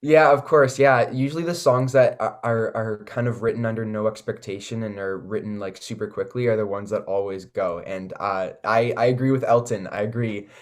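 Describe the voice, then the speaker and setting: A young man with a Canadian accent talks as a musician being interviewed. His voice is a bit low, and his delivery is laid-back, casual and full of slang. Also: fairly even intonation, normal speed, few pauses. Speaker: singer-songwriter guest.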